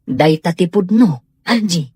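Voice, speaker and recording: A candid young gentleman, talks in a husky voice with an Ilocano accent. His assuring radio drama lines rise and fall expressively. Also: high voice, animated, unhurried, no room sound, heavily acted. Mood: calm, assuring. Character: calm, candid young gentleman, the suitor's cousin. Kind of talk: Filipino radio drama acting.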